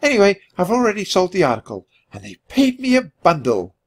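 A man's voice: sits high, huge pitch swings, brisk, pitched above the performer's own voice, heavily acted. A man voicing a sassy, scheming female mouse reporter in a storybook, with a teasing, dismissive manner. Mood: breezy.

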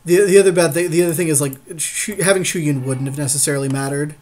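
A man's voice: on the high side, fast, a little mellow. A man casually commenting on a game with a partner, slangy and repeating phrases for emphasis. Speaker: podcast co-host and card game player.

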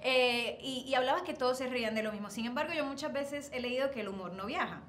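A woman's voice: high-pitched, quick pace, a crowd in the background. A woman in her thirties with a Puerto Rican accent, interviewing in a chatty, informal way with some English mixed in. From Puerto Rico. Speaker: entertainment interviewer. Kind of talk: casual Spanglish interview. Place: seated indoor interview set. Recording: roomy echo, faint background noise.

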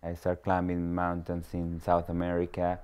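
Deep-voiced man with Dominican Spanish accent. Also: monotone, normal speed, darkish tone, clean tone. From Dominican Republic. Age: middle-aged. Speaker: cancer patient telling his story. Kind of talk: personal testimonial in a promotional documentary.

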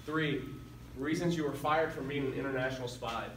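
A teenage boy hosting a school improv show, reading out prompts briskly like an announcer. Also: medium pitch, steady tone. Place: school classroom. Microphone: far camera. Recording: echoey classroom from far off, loud noise.

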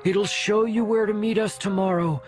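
An excitable young boy, acted by a man reading an audiobook, bossy and easily spooked. The voice sits high and sounds urgent and dramatic, with clear music behind it. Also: normal speed, natural voice. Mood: eerie, commanding. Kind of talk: audiobook character voice.

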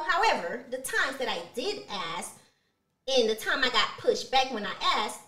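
A woman's voice: high-pitched, a bit bright, reverberant. A woman in her thirties from Haiti with a Haitian Creole accent, first language Creole, talking expressively to camera in a motivational vlog and repeating words for emphasis. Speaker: motivational vlogger. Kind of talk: personal motivational talk to camera. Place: small indoor studio room.

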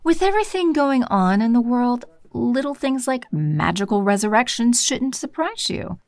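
A woman's voice: on the high side, sing-song, normal speed, darkish tone, no room sound, clean studio sound. Poised, scheming villain empress played by a woman. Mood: smug, poised. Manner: poised, smug, condescending. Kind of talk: audio drama acting.

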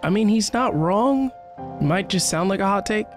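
A man's voice: high voice, animated, moderate pace, music in the background. A man in his thirties reacting casually to a video game on a livestream, with wry, joking asides.

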